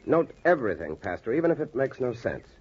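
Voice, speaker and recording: An American man acting an earnest, determined doctor and storyteller in a measured 1940s radio style. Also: medium pitch, very animated, husky. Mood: urgent, resolute. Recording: muffled vintage radio, music behind.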